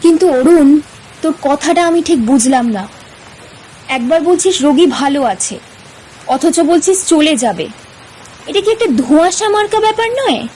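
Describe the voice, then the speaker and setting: Woman as a puzzled, coaxing doctor friend, high-pitched. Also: lively intonation, normal speed, long pauses, darkish tone, a little hiss or hum, rough recording. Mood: baffled. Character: concerned, puzzled male doctor, loyal friend.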